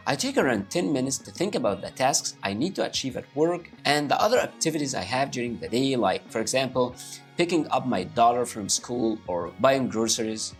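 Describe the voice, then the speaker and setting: A man from Egypt with an Egyptian Arabic accent, a native Arabic speaker, giving upbeat English-learning tips straight to his viewers. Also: a little high. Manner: upbeat and animated, addressing viewers directly. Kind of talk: talking to camera, motivational tips.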